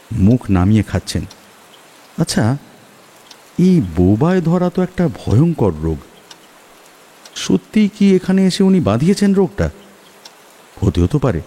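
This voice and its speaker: A man's voice: medium pitch, dark tone, slight background noise. A man narrating a scripted horror story dramatically in the first person. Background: music, rain.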